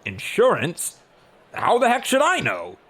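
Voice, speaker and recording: A man's voice: sits high, wildly swooping pitch, unhurried, a bit bright, husky, pitched above the performer's own voice, full character voice. A man voicing a brash, careless god of rocks in a comic fantasy audiobook, with a blustery, offhand, bossy manner. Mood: irritated, dismissive.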